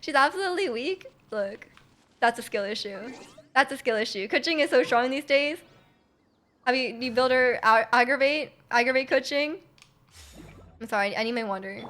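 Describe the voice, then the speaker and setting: Giggly, higher-pitched woman livestreaming a game. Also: expressive, normal speed, pushed and bright. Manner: giggly, hesitant, rambling, repeats herself. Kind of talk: casual live stream chat with viewers while playing. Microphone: close streaming microphone.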